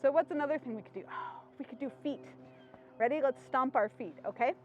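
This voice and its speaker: A woman's voice: sits high, quick pace, highs cut off, slight background noise. A woman hosting an interactive storytime for young children, asking simple questions and answering them.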